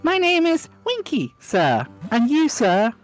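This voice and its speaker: A nervous little female house elf, squeaking in a high, quivering voice. She talks in anxious, servile broken grammar, and her pitch leaps around wildly. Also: huge pitch swings, takes it slowly, faint music behind, exaggerated voice. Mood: timid servile.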